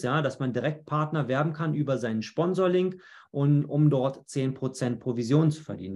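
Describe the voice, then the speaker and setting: A man's voice: medium pitch, darkish tone. A man presenting a webinar, walking viewers through a dashboard on screen, explaining step by step with frequent fillers and restarts. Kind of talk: screen-share walkthrough.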